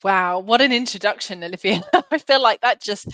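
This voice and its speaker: A woman's voice: medium pitch, moderate pace, fairly bright tone, no room sound. Upbeat woman hosting a webinar. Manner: upbeat, encouraging, laughs often. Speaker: event host.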